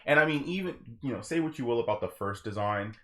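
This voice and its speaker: A man's voice: medium pitch, expressive, fast talker, a bit bright. A man chatting casually on a group podcast as a host, explaining his opinions.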